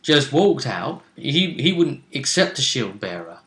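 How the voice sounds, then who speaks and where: A man's voice: mid-range voice, very animated, measured pace, a bit bright. A man, recapping a tabletop game session as game master in a wry, chatty way. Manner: chatty, wry, jokes about the fight. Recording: echoey room.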